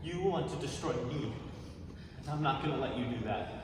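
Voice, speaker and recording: A man's voice: mid-range voice, animated, normal speed, natural voice. A man playing a repressed, devout, defensive young husband in a live dramatic stage reading. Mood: defiant. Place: large reverberant museum hall. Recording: echoey hall from far off, noisy.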